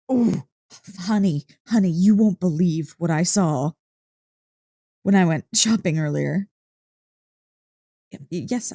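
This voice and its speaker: An excitable dog-girl girlfriend, acted by a woman in an ASMR roleplay, with a soft, mellow voice recorded close and dry. She gushes and teases playfully, taking her time over the words as she talks one-on-one to her partner. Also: mid-range voice, no echo. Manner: gushing, teasing, playfully indignant.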